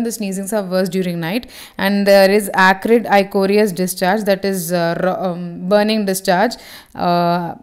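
A woman's voice: mid-range voice, a little mellow, smooth. A woman teaching homeopathy, reading short passages aloud and then explaining them in her own words, with frequent fillers and self-corrections. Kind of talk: reading and explaining a reference text.

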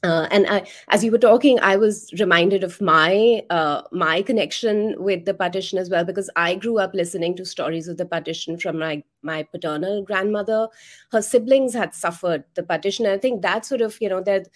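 A woman's voice: medium pitch, a little fast. A woman in her thirties moderating an online conversation in a hesitant, appreciative, conversational way. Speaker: webinar moderator. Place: room at home, video call. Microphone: video call microphone.

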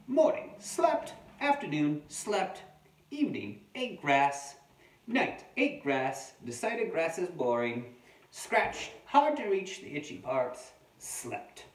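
A man voicing a sleepy, demanding wombat in an attempted Australian accent, reading its diary from a picture book in short deadpan statements. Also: on the high side, slow, pitched above the performer's own voice. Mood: sleepy, matter-of-fact. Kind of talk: children's picture book read-aloud. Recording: roomy, distant mic, faint background noise.

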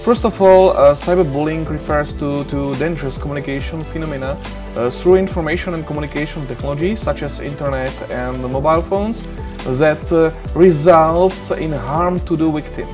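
A man's voice: a little high, moderate pace, music in the background. A middle-aged man with a Czech accent, lecturing over slides in a small room, hesitant with many fillers and restarts. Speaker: university lecturer.

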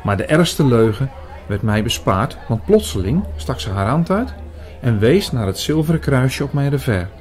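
An actor as a reflective, measured male priest narrator. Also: medium pitch, sing-song, some chatter behind, close to an everyday voice. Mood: earnest. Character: earnest priest narrator, shy and secretly smitten. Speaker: narrator and lead in an audio drama. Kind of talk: dramatised first-person audio-drama narration.

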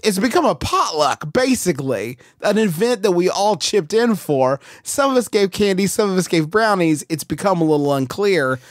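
A man's voice: high voice, sing-song, normal speed, few pauses, dry recording, clean studio sound. Emphatic male comedy podcast host. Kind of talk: comedic casual banter.

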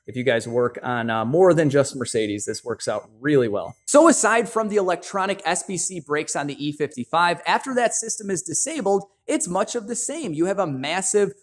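A man mechanic casually explaining a brake job in a home garage, with lots of "uh" fillers, talking to viewers as guys. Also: medium pitch. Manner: relaxed, frequent "uh" fillers, addresses viewers as guys. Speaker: mechanic demonstrating brake service. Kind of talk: casual how-to walkthrough.

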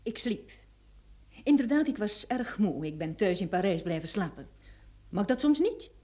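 Actress playing a haughty, indignant widow, animated voice. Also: female voice, medium pitch, moderate pace, natural voice. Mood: self-pitying. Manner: haughty, affronted. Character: indignant, self-pitying widowed mother. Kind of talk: radio play acting.

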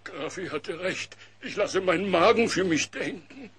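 A man's voice: high-pitched, animated, measured pace, a bit bright. A man playing a chubby, gullible, good-natured cartoon bear with a hesitant, naive way of talking. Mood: worried. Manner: hesitant, naive, self-pitying. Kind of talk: cartoon audio drama acting.

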